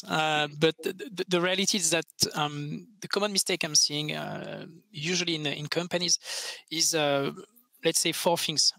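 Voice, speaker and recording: Bright, higher-pitched Frenchman speaking emphatically. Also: no echo, poor audio. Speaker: panelist, tech research expert. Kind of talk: panel discussion answer. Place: open-plan office, indoors. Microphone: headset boom mic.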